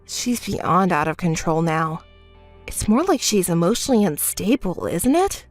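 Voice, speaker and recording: A woman voicing a calm, fed-up young woman who answers in a level, dry, scolding way in a dramatized story. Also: lowish voice, normal speed, soft background music, voice pushed down.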